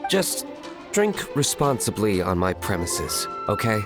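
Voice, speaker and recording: An actor playing a terse, brooding young tavern owner. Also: male voice, lowish voice, wildly swooping pitch, unhurried. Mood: cold. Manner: terse and cold. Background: game music.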